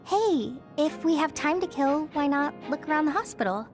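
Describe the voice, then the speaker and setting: A woman's voice: high voice, lively intonation, moderate pace, soft background music. A woman playing a caring, upbeat young female squad mate in a video game, friendly and direct. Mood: helpful. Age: young adult. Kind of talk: video game cutscene voice acting.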